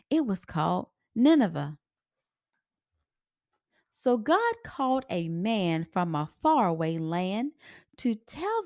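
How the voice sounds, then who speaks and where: Expressive woman reading aloud, slow and lively. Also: mid-range voice, no echo, studio quality. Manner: expressive, sing-song rhyming delivery. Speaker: children's story reader. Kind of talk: read-aloud storytelling for children.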